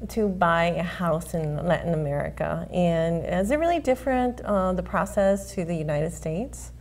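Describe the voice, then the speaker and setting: A woman's voice: fairly low pitch, normal speed, a little mellow. A woman hosting a talk show, asking her guest chatty, encouraging strings of questions. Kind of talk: interview hosting.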